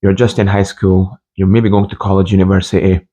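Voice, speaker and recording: Motivational host, young male, fairly low pitch. Informal, personal storytelling with halting delivery and emphatic repetition. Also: flat delivery, dark tone, highs cut off. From Scotland and Hungary. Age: young adult. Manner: halting, repeats phrases for emphasis.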